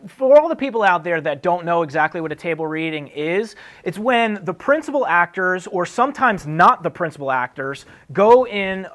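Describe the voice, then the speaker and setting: A man's voice: high voice, a bit bright. A man speaks as the host of an advice show. His delivery is casual and conversational, explaining things step by step as if talking straight to the listener. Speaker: host answering acting career questions. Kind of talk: casual advice talk to camera.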